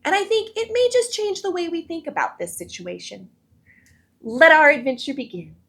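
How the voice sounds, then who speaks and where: High-voiced woman hosting for children. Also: moderate pace. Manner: friendly, animated, encouraging. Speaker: children's read-aloud show host. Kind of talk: scripted presenting to children.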